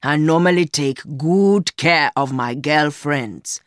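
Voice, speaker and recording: A woman voicing an older rich man, a smooth, condescending and fatherly secret lover in an audiobook story. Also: low-pitched, slow, no echo, studio quality, voice pushed down. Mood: stern, dismissive. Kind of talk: acted dialogue in audiobook story.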